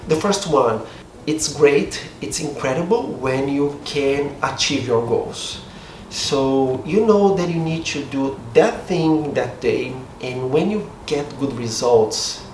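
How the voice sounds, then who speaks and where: A slightly hoarse man with a Brazilian Portuguese accent talks in a chatty, upbeat, self-deprecating way. His voice sits a little high for a man. He is recorded from a distance in an echoey room, with faint noise from outside. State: a bit hoarse. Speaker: vlogger. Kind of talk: casual talk to camera. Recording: roomy echo, distant mic, faint street noise.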